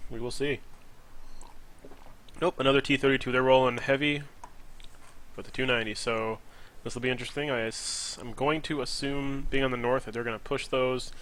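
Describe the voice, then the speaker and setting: A man's voice: medium pitch, a bit bright. A man with a nasal, stuffed-up voice from a cold, giving casual live play-by-play commentary on an esports match. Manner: casual, thinks aloud, predicts picks. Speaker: esports caster.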